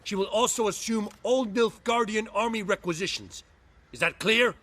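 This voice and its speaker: An actor playing a haughty general of an invading empire in a fantasy game, formal, commanding and disdainful. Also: male voice, sits high, normal speed, fairly bright tone. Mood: grudging, curt. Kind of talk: fantasy video game acting.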